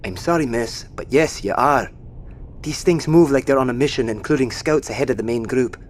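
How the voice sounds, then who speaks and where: Actor as insistent male survivor over faint rumble. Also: moderate pace, natural voice. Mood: urgent, insistent. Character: urgent, wary male survivor tracking giant creatures, commanding but courteous. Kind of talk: naturalistic audio drama acting. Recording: faint low rumbling background noise.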